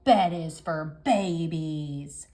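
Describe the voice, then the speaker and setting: A woman voicing a grumpy, stubborn little girl in a read-aloud picture book, drawing out her protests slowly and whining. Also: deep voice, dark tone, voice pushed down. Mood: whiny. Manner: whiny, drawn-out protesting.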